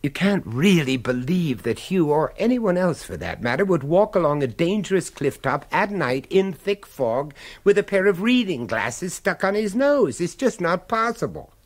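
An actor playing a shrewd, insistent professor turned amateur sleuth in a radio drama, speaking emphatically and persuasively. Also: male voice, a little high, very animated, normal speed, hardly any pauses. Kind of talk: British radio drama acting.

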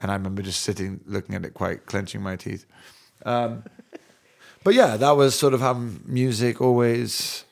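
Man, 30s, American-sounding accent, low gravelly voice, reflective and halting, relaxed family chat. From England (London). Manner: reflective, many restarts and self-corrections. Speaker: musician. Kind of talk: casual family conversation.

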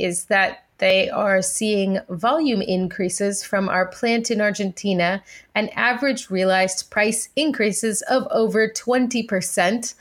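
A woman speaks as a podcast co-host in a casual, conversational style. Her pitch sits in the middle range for a woman. She has a playful, wry way of putting things. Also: fairly bright tone.

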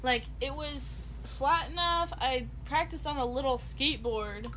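A higher-pitched woman co-hosting a podcast and chatting casually, giggly and rambling as she goes. She sounds tired. A faint noise hums behind her voice. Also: unhurried, sharp tone. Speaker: podcast co-host. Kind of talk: casual chat.

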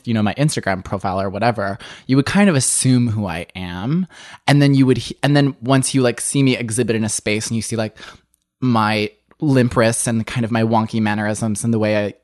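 A young man speaking as an interview guest, talking candidly and animatedly, with frequent filler words and a voice that jumps up and down in pitch. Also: no room sound, studio quality.

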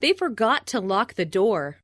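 A woman reading short phrases for language learners, repeating each one as a clear model. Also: mid-range voice, big pitch swings, unhurried, a bit bright, dry recording, studio quality. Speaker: language lesson speaker.

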